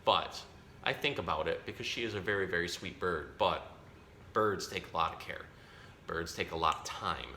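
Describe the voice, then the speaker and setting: A man's voice: low-pitched, normal speed, pushed and bright. A man giving a casual, chatty educational talk for children as a zookeeper, explaining things simply and correcting himself as he goes. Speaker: zookeeper presenting an animal storytime. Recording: roomy echo, faint background noise.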